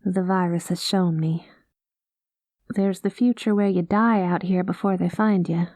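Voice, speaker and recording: A woman playing a rogue space pilot in an ASMR roleplay, talking close to the mic in a soft, folksy cowboy drawl that drops into whispers. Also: fairly low pitch, steady tone, a little slow, no echo. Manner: soft-spoken, slips into whispers. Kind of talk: ASMR roleplay, spoken to the listener.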